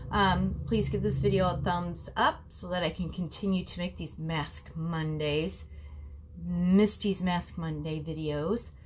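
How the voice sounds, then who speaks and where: A woman's voice: lowish voice, fairly even intonation, measured pace, slight background noise. A woman in her forties with a nasal, stuffy voice from a cold, chatting to her viewers about a face mask at home. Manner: chatty, talks to viewers as family, frequent ums. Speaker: beauty vlogger. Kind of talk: casual skincare review vlog. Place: small bedroom at home, indoors. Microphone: camera in front at desk distance.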